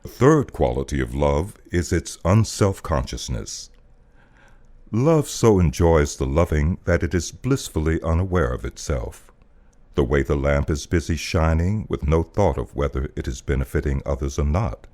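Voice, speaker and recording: A man with a very low voice reads a spiritual text like poetry. His delivery is solemn and deliberate, and his pitch moves expressively across a wide range as he stresses key words. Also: expressive, a little mellow. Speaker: voice-over artist, narrator. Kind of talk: dramatic poetic reading of a spiritual text.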